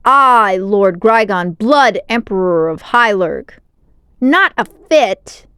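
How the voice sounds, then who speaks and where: A woman puts on an armored alien warlord for a children's storybook. He is pompous and menacing, booming out slow, weighty proclamations in a dry, close recording. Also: lively intonation, clear, resonant tone, no room sound, heavily acted. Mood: furious. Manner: pompous, forceful, drawn-out proclamations.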